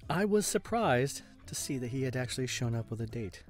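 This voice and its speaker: A man playing a smug amateur detective, delivering a grandiose, deliberate monologue with dramatic pauses. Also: mid-range voice, sing-song, music in the background, lightly acted. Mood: triumphant, teasing. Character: smug, theatrical male amateur detective unmasking a culprit. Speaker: voice actor in an audio roleplay. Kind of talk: theatrical scripted ASMR roleplay monologue.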